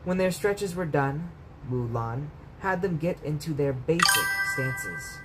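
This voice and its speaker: A man's voice: a little high, expressive, slow pace. A man reading a bedtime story aloud as a storyteller, in scripted narration. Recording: clear background noise.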